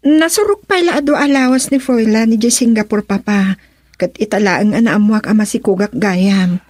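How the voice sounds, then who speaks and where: An actress playing a distressed, pleading grown daughter in a radio drama. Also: female voice, mid-range voice, normal speed, a little mellow, dry recording, clean studio sound, lightly acted.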